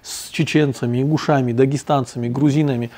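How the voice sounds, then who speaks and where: A man from North Ossetia hosting a conversational podcast, speaking thoughtfully with frequent pauses and fillers. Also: mid-range voice, steady tone, darkish tone. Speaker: podcast host.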